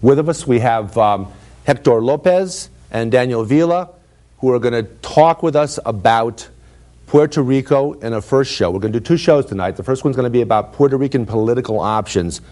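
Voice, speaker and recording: A man's voice: medium pitch, moderate pace, darkish tone. A man hosting a public-access talk show, asking his guests friendly questions with some hesitation. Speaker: host. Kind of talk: studio interview.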